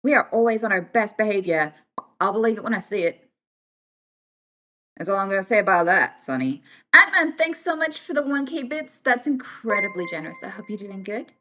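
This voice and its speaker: Young British female streamer, casual and teasing, with a lively, swinging delivery. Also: mid-range voice, fairly quick, soft background music. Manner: chatty, teasing, thanks viewers warmly by name. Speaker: livestream host. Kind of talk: casual chat with live viewers.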